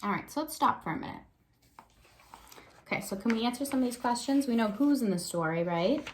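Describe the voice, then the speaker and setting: A woman's voice: a little high, animated, fairly quick, a little hiss or hum. Woman teacher reading aloud to children. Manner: thinks aloud with prompting questions and check-in tags. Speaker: elementary school teacher. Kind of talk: read-aloud reading lesson for young children.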